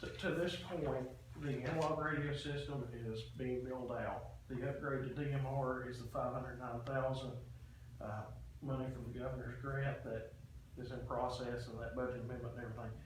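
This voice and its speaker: A man explaining a report at a public meeting in a halting, matter-of-fact way. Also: mid-range voice, fairly even intonation. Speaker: official presenting a radio system report to a committee. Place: indoor public meeting room. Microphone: distant room microphone. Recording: echoey meeting room, distant mic, faint noise.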